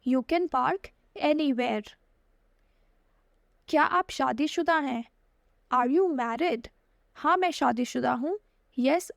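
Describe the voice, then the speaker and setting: A woman teacher reading short phrase pairs in a slow, deliberate drill. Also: high-pitched, gravelly, a bit bright, dry recording, clean studio sound. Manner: very slow, deliberate English, quicker Hindi. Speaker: language teacher.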